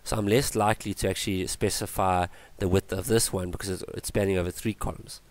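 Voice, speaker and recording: A man's voice: deep voice, fairly even intonation, fast, no room sound. A man teaching a coding tutorial over a screencast, thinking aloud with fillers and self-corrections. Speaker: tutorial instructor.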